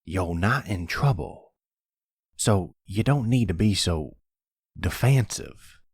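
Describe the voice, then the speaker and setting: Man acting deep, husky older mobster grandfather. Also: animated, slow pace, dry recording, clean studio sound, full character voice. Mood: calm, probing. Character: older mobster grandfather, steady, protective, probing. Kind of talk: audio roleplay drama.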